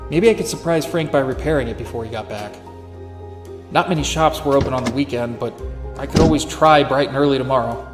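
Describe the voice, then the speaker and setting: Actor as an earnest noir sleuth, roomy sound over music. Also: male voice, mid-range voice, fairly quick, close to an everyday voice. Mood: reflective, subdued. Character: earnest, weary young male amateur sleuth, narrating noir. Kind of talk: scripted first-person noir narration and dialogue.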